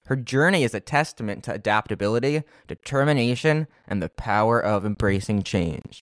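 A man hosting a casual podcast interview, playful, with quick bursts of energy. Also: on the low side, expressive, no room sound, clean studio sound. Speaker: podcast host.

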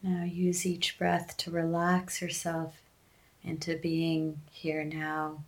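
Female meditation guide, gentle and very slow, with flat, steady intonation and long pauses. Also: sits low, gravelly.

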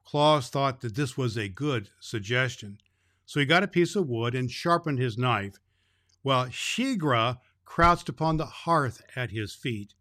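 A man's voice: medium pitch, expressive, a little slow, pushed and bright, no echo, clean studio sound. A man reading a children's story aloud as a storyteller, expressively, with small stumbles over the words.

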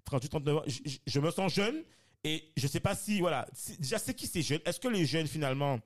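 A man in his thirties hosting a casual discussion podcast, animated and given to repeating words and restarting. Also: a little high, brisk. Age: 30s. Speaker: podcast host.

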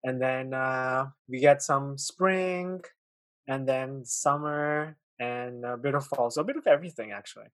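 A man in his late twenties who grew up in Pakistan talks as a podcast guest, friendly but hesitant and full of fillers. His voice is mid-pitched. The recording is dry and close. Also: unhurried, a bit bright, dry recording. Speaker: guest, author and podcast host. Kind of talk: casual interview chat.

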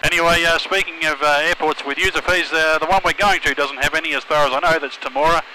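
A man's voice: fairly high pitch, steady tone, quick pace, bright, forceful tone, low-quality recording. A man with an Australian accent chatting casually as a podcast host, speaking over an aircraft intercom. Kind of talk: casual chat about flying. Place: cockpit of a small aircraft in flight. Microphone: aviation headset intercom. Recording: intercom audio with aircraft engine noise.

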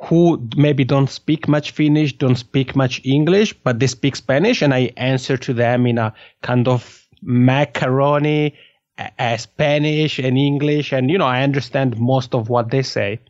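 A man from the Italian-speaking part of Switzerland with a Swiss Italian accent, a podcast guest telling his story in an animated, laughing way. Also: medium pitch, unhurried, no echo, dull audio. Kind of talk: casual interview conversation.

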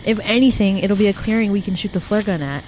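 A woman's voice: medium pitch, fast talker, a little mellow, a little hiss or hum, close to an everyday voice, low-quality recording. A woman playing a suspicious, headstrong young hiker with an earnest, urgent delivery in a naturalistic audio drama. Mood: uneasy, musing. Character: suspicious, headstrong young woman lost camping, haunted by lake.

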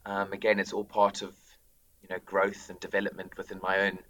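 A man's voice: deep voice, completely flat delivery, brisk, fairly bright tone, rough recording. A man in his thirties with a South African accent, talking casually as an interview guest with many ums and restarts. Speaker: director of drama, guest.

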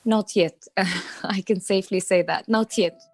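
Woman in her 30s, interview guest, halting with fillers, dry close sound. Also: mid-range voice, fairly even intonation, no room sound. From Iraq. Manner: hesitant, many fillers and restarts, laughs lightly. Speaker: guest, diplomat. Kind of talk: casual interview answers.

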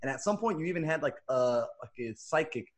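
A man's voice: fairly high pitch, animated, fairly quick. Laid-back young man hosting an interview. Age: 20s. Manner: laid-back, chatty questions with "like" and "bro". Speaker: interview host. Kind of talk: casual podcast interview.

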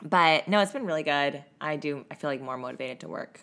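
A woman co-hosting a podcast, chatting casually with a voice raspy from a cough, laughing often as she tells stories. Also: sits low, brisk, fairly bright tone. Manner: laughs often, loose storytelling with many fillers. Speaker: podcast co-host. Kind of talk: casual chat.

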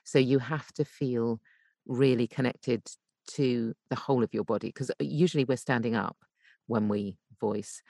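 A British woman talking conversationally as an interview guest, explaining things in an animated, emphatic way from a home voice booth. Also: rumbling low, a little slow, dry recording, studio quality. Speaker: podcast guest, voice actor and coach.

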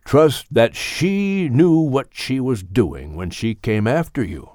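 A man voicing a wise, gentle older male animal mentor in an audiobook, speaking in a measured, firm but kindly way. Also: mid-range voice, lively intonation, dry recording, clean studio sound. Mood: firm, instructive. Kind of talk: audiobook character voice.

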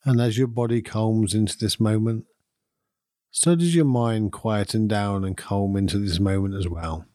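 A man leading a guided meditation in a calm, soothing, unhurried manner with long pauses between phrases. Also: on the low side, no room sound, clean studio sound. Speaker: meditation guide and podcast host. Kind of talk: guided meditation, gentle instruction.

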